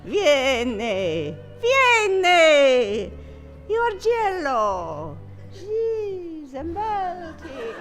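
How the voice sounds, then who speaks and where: A woman's voice: very high voice, very animated, very slow, over music, full character voice. A woman playing the joyful spirit of an old Italian grandmother with an Italian accent, sing-song and exclaiming, in a comic audio play. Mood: joyful, beckoning.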